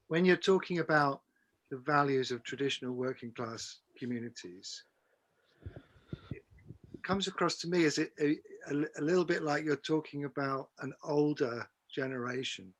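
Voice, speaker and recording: Thoughtful man with tentative phrasing. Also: medium pitch. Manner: thoughtful, tentative, restarts words. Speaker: audience member asking a question. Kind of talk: spoken question in a live discussion.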